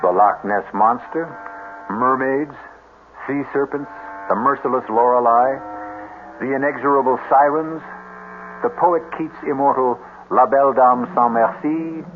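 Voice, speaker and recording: A man hosting a radio mystery show, narrating theatrically with ornate, dramatic, deliberate phrasing. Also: mid-range voice. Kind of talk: theatrical scripted suspense narration. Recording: tinny band-limited radio, clear noise.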